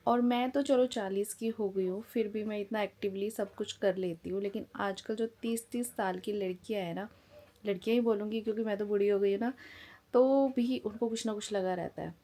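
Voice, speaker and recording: A woman's voice: medium pitch, fairly even intonation, normal speed, dark tone. A woman in her forties from India, chatting frankly and casually to camera at home as a family vlogger. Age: 40s. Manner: frank, chatty, talks plainly and openly.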